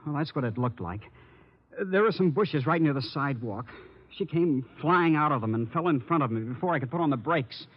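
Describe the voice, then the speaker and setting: Earnest young radio-drama prisoner. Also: male voice, on the high side, lively intonation, brisk. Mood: earnest, guarded. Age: 20s. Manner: earnest, guarded. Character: earnest, troubled, loyal young man in jail. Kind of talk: 1940s radio drama acting. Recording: muffled old radio broadcast.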